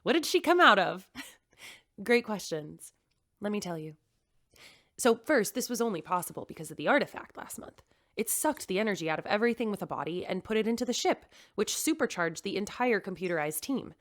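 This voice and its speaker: Actress as an earnest computer-mom, mid pitch, nervous and rambling, audio drama. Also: female voice, expressive, fairly quick, fairly bright tone, natural voice. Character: computerized woman store owner, new mother, earnest. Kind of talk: audio drama acting, recorded message.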